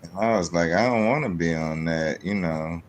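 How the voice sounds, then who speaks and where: A man's voice: sits low, normal speed, a little hiss or hum. A man of fifty-eight talking casually and personally as a podcast guest.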